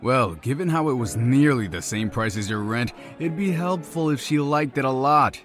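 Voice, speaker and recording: Snarky, animated young guy played by a man. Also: medium pitch, normal speed, over music, close to an everyday voice. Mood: sarcastic. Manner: sarcastic, teasing. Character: snarky, blunt young man, teasing best friend. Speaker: voice actor. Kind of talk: audio drama dialogue.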